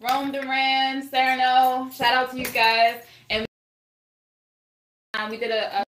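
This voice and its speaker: A woman's voice: high voice, completely flat delivery, a little slow, fairly bright tone, slight background noise. A woman singer talking casually as a podcast guest, rambling and swearing, with many restarts. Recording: echoey room.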